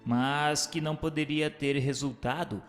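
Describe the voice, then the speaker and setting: A man's voice: fairly high pitch, steady tone. Formal male narrator in long reverb. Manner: formal, measured, didactic. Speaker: narrator and questioner. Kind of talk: scripted narration of a religious text. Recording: hall-like reverb, music bed.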